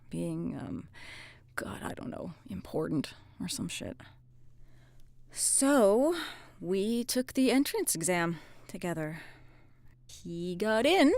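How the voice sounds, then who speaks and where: A woman playing a guarded, rueful policewoman in her thirties in an audio drama, with a hesitant, halting delivery. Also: on the low side, vocal fry, no echo, full character voice. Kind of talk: naturalistic audio drama acting.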